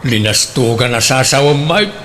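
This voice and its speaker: A man's voice: medium pitch, moderate pace, a bit bright, a little hiss or hum, natural voice. A man playing an exasperated, put-upon husband in a comedic radio drama, answering with grumbling sarcasm.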